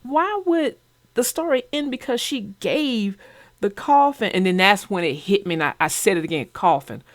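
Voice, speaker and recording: A woman's voice: mid-range voice, animated, moderate pace, no room sound, studio quality. A woman host who narrates children's books, chatting casually and expressively. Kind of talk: casual chat about a children's book.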